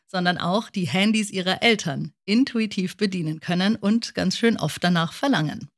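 Woman, podcast host doing interview style presenting, normal mid pitch.

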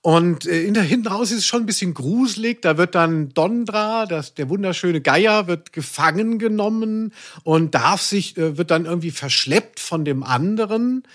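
A man's voice: high-pitched, lively intonation, normal speed. A man co-hosting a podcast, discussing audio plays casually in a wordy, hesitant way. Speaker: podcast co-host.

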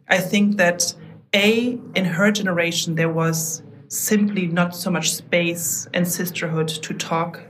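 A woman's voice: on the low side, slight background noise. A woman in her forties from Germany with a German accent, speaking earnestly as a guest on a podcast interview. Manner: earnest, with fillers and restarts. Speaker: guest, app founder.

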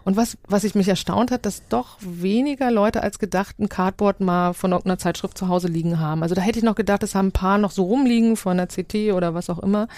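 A woman's voice: medium pitch, quick pace, hardly any pauses, darkish tone. A woman explaining her project as a guest in casual, loosely structured conversation.

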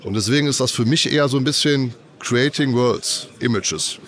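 A man's voice: medium pitch, moderate pace, a bit bright. Guy with a cold rambling about speakers at a trade fair, lots of fillers. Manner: loose, filler-heavy explaining. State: has a cold. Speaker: audio company representative. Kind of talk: casual trade-fair interview.

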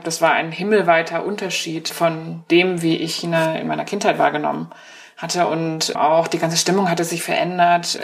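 Thoughtful woman in her thirties on the phone. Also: fairly low pitch. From grew up in Germany. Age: 30s. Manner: thoughtful, recounting personal memories with hesitations. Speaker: foreign correspondent, interviewee. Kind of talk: reflective interview answers. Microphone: telephone.

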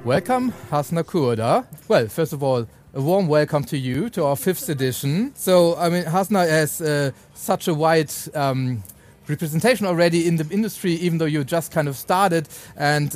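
A man's voice: fairly high pitch, darkish tone. A man hosting an unscripted stage interview as event moderator, with rambling, hesitant phrasing.

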